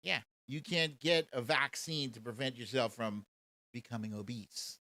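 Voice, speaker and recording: A man's voice: medium pitch, normal speed, bright, forceful tone, no echo. An American man hosting a livestream, chatting casually and mockingly as he comments and answers viewer questions in colloquial speech. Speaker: host of a live commentary show.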